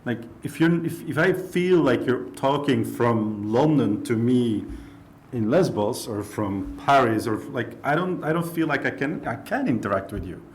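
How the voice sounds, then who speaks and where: A man's voice: medium pitch, animated, a little slow. A man from Belgium speaking on a panel discussion, casual and filling his speech with "like". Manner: casual, lots of "like" fillers.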